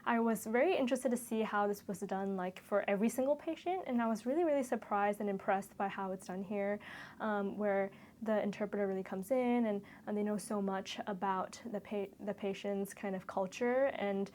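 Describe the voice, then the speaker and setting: A woman in her twenties who grew up in Malaysia gives a reflective, interview-style testimonial. Her voice sits at an average pitch for a woman. She talks earnestly, linking loose phrases as she thinks back over her experience. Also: normal speed, a little mellow, slight background noise. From Kuala Lumpur, Malaysia. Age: 20s. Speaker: medical student-to-be sharing a shadowing experience.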